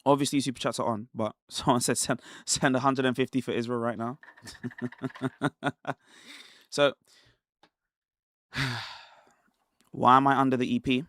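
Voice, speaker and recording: A man from Nigeria hosting a casual commentary livestream, talking in an animated, rhetorical way with laughs between points. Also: medium pitch, a little slow, pauses often, no room sound, clean studio sound. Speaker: livestream host.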